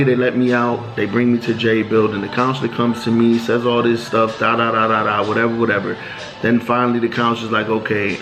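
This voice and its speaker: A man tells a story in a relaxed, slangy way. He speaks at an ordinary pitch for a man and acts out bits of dialogue as he goes. Music plays clearly underneath. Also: rough recording. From New York. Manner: laid-back street slang, acts out quoted dialogue. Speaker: channel host telling prison stories. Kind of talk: casual storytelling monologue.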